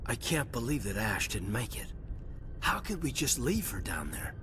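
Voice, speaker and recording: An actor playing an earnest, guilt-ridden military officer in a video game, speaking with open emotion. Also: male voice, mid-range voice, very animated, normal speed. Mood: guilty, subdued. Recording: loud music behind the voice.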